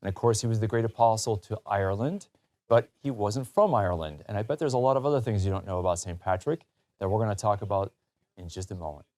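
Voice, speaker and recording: Low-pitched man hosting a talk show. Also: fast talker.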